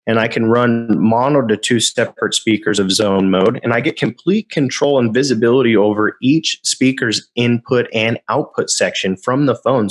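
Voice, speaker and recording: A man explaining loudspeaker features in an enthusiastic sales-pitch manner, sometimes stumbling over a word. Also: poor audio. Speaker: product specialist. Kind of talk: product demo explanation.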